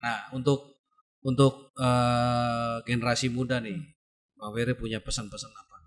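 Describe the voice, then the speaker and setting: A man's voice: mid-range voice, level tone, normal speed. A male host running a casual interview, agreeing often and asking short prompting questions.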